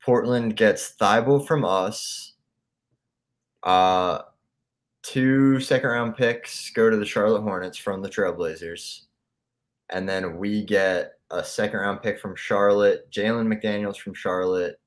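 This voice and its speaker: Laid-back young man, low voice. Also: steady tone, slow pace. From Philadelphia area. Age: young adult. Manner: laid-back, reads trade details aloud. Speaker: podcast co-host. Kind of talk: casual sports podcast chat.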